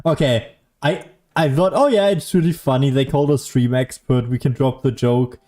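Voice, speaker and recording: A man's voice: mid-range voice, normal speed, dark tone. A young man giving casual gameplay commentary, rambling, sweary and self-deprecating. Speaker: game streamer. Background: faint game music.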